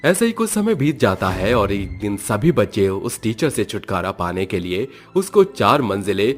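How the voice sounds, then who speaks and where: Slightly low-pitched man narrating horror stories. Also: very animated, moderate pace, faint music behind. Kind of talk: dramatic scripted horror story narration.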